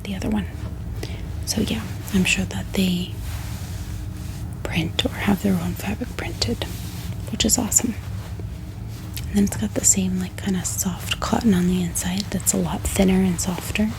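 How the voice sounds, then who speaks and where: A woman speaking in a soft, breathy whisper, gently showing items in an ASMR haul and sometimes repeating phrases slowly. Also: mid-range voice, fairly even intonation, noisy background. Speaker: host of a bookish ASMR channel.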